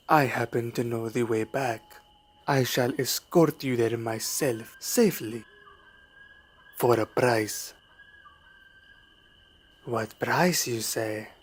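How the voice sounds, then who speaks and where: Man playing a slow-talking, suave, sinister vampire. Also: medium pitch. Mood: courteous, sly. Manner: formal, courteous, deliberate, quietly menacing. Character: suave, sinister male vampire luring a traveler. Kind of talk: ASMR roleplay monologue. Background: night forest ambience.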